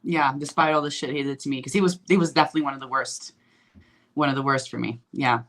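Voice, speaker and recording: Bright-voiced young woman, light Swedish accent. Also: a bass voice, fairly even intonation, fast. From Sweden. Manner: chatty, loose storyteller with many fillers. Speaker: guest bodybuilder. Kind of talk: casual interview chat.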